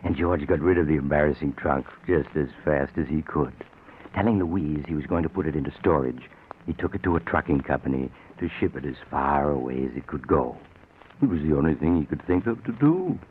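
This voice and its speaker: An actor playing a sardonic, sinister storyteller narrating a 1940s radio mystery. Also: male voice, a bass voice, lively intonation, fairly quick, a little hiss or hum. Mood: wry. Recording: tinny, narrow 1940s radio recording.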